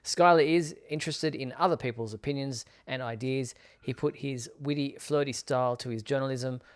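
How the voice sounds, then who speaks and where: A man's voice: mid-range voice, moderate pace, clean tone. A man casually hosting a live show, presenting and reading out viewer messages.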